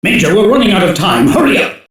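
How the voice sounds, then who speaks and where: A man's voice: high voice, big pitch swings, quick pace, a bit bright. An actor playing a stern, shrewd older male intelligence chief who gives authoritative, urgent orders in dubbed anime game dialogue. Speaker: chief of a special police unit. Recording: very echoey, distant from the mic.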